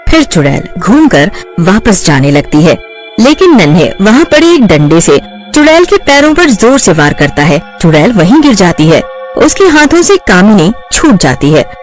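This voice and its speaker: A woman narrating a horror cartoon story in a lively, dramatic scripted style. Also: mid-range voice, normal speed, soft background music.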